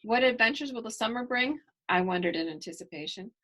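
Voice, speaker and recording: A woman's voice: a little high, lively intonation, fairly quick, fairly bright tone. A woman voicing a bubbly, fashion-proud seven-year-old farm girl in a children's storybook, with enthusiastic emphasis. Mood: excited. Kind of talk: first-person children's storybook narration.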